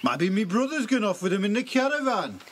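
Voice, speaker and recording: An actor playing a surly, defiant young circus thief in an audio drama. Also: male voice, high-pitched, big pitch swings, a little fast, a bit bright, a little hiss or hum.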